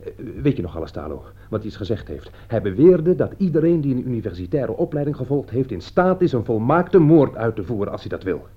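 A man's voice: medium pitch, sing-song, fairly quick, few pauses, slightly rough. A man acting in a radio play as an anxious, indignant friend turned accuser, agitated and reproachful. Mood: indignant, accusing. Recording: dull lo-fi radio recording.

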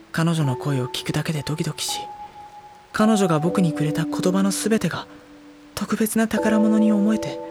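A man playing a gentle, wounded high school boy in an audio drama, speaking in a soft, introspective, earnest way. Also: close to an everyday voice. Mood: wistful. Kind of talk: Japanese audio drama acting with introspective monologue.

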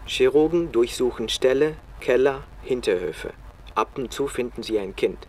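An actor playing a sober reporter who narrates a radio feature in terse, deliberate sentences. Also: male voice, medium pitch, expressive, slight background noise, close to an everyday voice. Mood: sober, bleak. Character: sober male reporter recounting a bleak field search.

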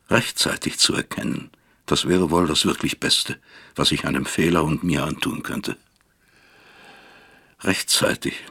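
A middle-aged father weighed down by guilt in a radio drama monologue. His voice is extremely deep, with heavy fry and a husky rasp. He broods bitterly, questioning himself in halting phrases. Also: sharp tone, exaggerated voice. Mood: self-accusing. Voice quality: gravelly, husky.

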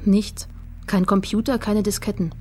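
A woman's voice: medium pitch, flat delivery, moderate pace, a little mellow, soft background music, natural voice. Crime radio play: actress as unyielding female detective, mid-range voice, terse, businesslike delivery. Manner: terse, matter-of-fact. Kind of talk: naturalistic radio crime drama acting.

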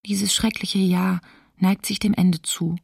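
Fearful diarist, radio docudrama, creaky, even-toned, sombre, short plain sentences. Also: female voice, medium pitch, slow, no room sound, clean studio sound, heavily acted. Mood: bleak, resigned. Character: lonely, fearful woman diarist in wartime winter. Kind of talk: documentary radio play, diary reading.

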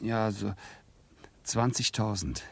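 A man's voice: on the low side, slow pace, vocal fry, husky, heavily acted. An actor playing a timid, awkward male doctoral student in a radio play, speaking hesitantly and sheepishly.

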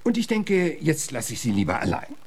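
An actor playing a gruff, plain-spoken middle-aged frontier marshal in a German radio drama, halting, folksy and awkwardly courteous, with a gravelly voice. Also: male voice, on the high side, wildly swooping pitch, heavily acted. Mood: reassuring, confiding. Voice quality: gravelly, husky.

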